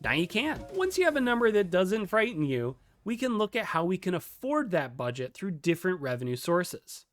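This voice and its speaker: A tutorial narrator with a mid-pitched, animated voice that moves freely up and down. The delivery is scripted yet conversational and lightly playful, with music playing behind it. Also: moderate pace, hardly any pauses. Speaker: video tutorial presenter.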